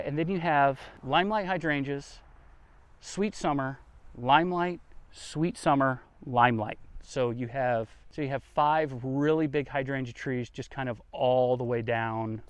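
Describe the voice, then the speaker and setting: Guy doing a casual garden vlog, smooth clear voice, chatty and folksy, dry sound with no echo. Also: medium pitch. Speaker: gardening vlogger, host. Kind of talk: casual garden tour, explaining as he walks. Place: outdoors in a home garden.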